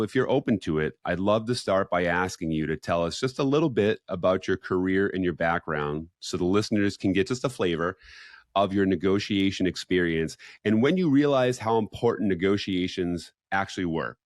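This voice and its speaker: A man's voice: low voice, lively intonation, moderate pace, dry recording, clean studio sound. A man hosting a podcast, interviewing a guest with eager, rambling questions that he sometimes restarts. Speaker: podcast host.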